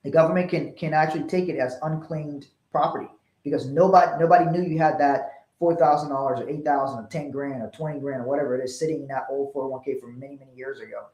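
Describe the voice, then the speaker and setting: A man's voice: fairly high pitch, fairly even intonation, fast, a little mellow. A man from Liberia presenting a financial webinar in a conversational, explanatory way. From Liberia, West Africa. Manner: explanatory, illustrates points with acted-out dialogue. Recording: roomy echo.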